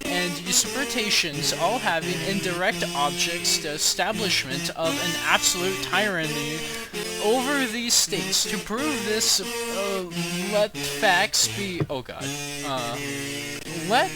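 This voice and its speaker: Halting amateur reader with loud music behind. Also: mid-range voice, lively intonation, rough recording. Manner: stumbling over long words, losing their place. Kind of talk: halting read-aloud of a formal historical document. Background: loud instrumental music.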